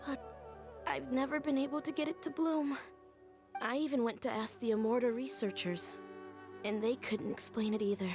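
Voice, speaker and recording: A woman's voice: sits high, steady tone, normal speed. An actress playing an earnest, hesitant young woman grieving a teacher, in a video game. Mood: wistful, puzzled. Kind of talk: English video game voice acting. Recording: music behind the voice. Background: background music.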